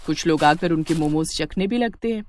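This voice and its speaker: A woman narrating a scripted moral story in an expressive storytelling manner. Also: low voice, normal speed.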